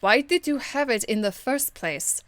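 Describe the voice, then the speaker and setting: A woman voicing a stern, dignified noblewoman warrior of faith for an amateur game voice demo, speaking in a formal, measured, earnest way. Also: on the high side, animated, a bit bright, no room sound. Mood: questioning.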